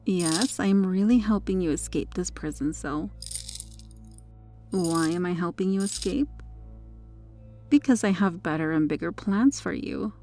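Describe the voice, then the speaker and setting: A woman playing a possessive superheroine with a hidden dark obsession in an ASMR roleplay, her manner controlled and teasing. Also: lowish voice, unhurried, pauses often, soft background music. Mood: smug, possessive, teasing. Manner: smug, flirtatious, controlled.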